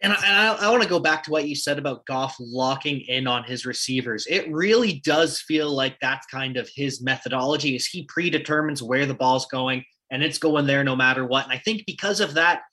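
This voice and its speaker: A man's voice: medium pitch, pushed and bright, breathy edge. A man with a Canadian accent co-hosting a casual sports talk show, reeling off statistics and posing questions. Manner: stat-heavy, questioning, quick asides. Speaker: podcast co-host. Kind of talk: casual sports analysis chat.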